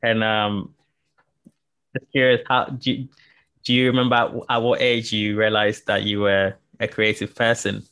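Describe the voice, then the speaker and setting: Low-voiced hesitant podcast host man. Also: little pitch movement, moderate pace, a bit bright, smooth. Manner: hesitant, repeats words, many fillers. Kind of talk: podcast interview.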